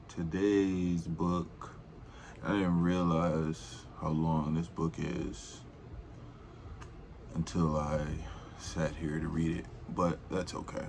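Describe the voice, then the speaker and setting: A man's voice: deep voice, a little hiss or hum. A man reading a children's storybook aloud in an informal, rambling way, with casual slangy asides.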